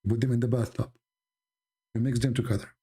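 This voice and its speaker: Gravelly, husky man mocking a caller. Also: medium pitch, little pitch movement, a little fast, dark tone. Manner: mocking, sarcastic, impatient. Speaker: livestream host. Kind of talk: argumentative livestream talk.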